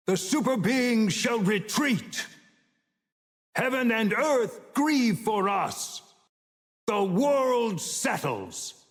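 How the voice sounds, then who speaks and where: A man's voice: high-pitched, creaky, a bit bright, exaggerated voice. A man playing an ancient, imperious sage with divine power in a video game, speaking in stern, solemn, grandiose proclamations. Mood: commanding, menacing. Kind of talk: theatrical game voice lines. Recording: long cavernous reverb on the voice.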